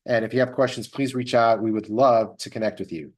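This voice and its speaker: Man, medium pitch, host, data presentation style, measured analyst delivery, emphasis on numbers. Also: fairly even intonation, a little mellow. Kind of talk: market update presentation over data slides.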